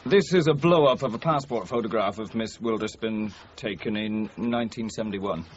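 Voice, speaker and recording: A man's voice: on the low side, moderate pace, highs cut off, a little hiss or hum, lightly acted. An actor playing a deferential, plain-spoken male police sergeant in a radio crime drama. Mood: formal, deferential.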